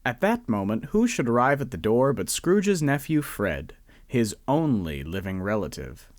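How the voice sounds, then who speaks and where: A man narrating a radio drama in a measured, expressive storytelling manner. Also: mid-range voice, dry recording.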